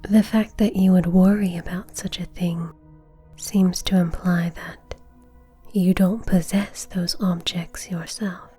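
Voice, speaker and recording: A woman playing a composed, coolly defiant suspect in an ASMR roleplay, speaking softly and politely in a measured, breathy whisper. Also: lowish voice, fairly even intonation, faint music behind, exaggerated voice. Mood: knowing, defiant. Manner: soft-spoken, polite, measured, subtly taunting.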